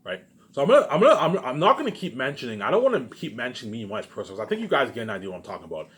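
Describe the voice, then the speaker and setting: A man giving a rambling, unscripted, repetitive monologue to viewers, emphatic, with loud bursts that drop into muttered asides. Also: medium pitch, big pitch swings, racing. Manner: repetitive, circling back to same phrases. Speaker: online video maker.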